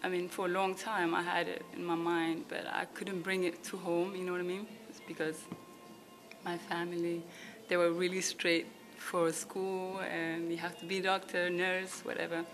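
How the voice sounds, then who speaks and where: A young woman from Ethiopia, raised in the Netherlands, answers questions in a low, level voice. She talks in a loose, halting way and fills gaps with "like" and "you know". Music plays behind her. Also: flat delivery. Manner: halting, lots of fillers and "you know what I mean". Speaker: model, guest. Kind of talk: casual interview. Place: apartment at home.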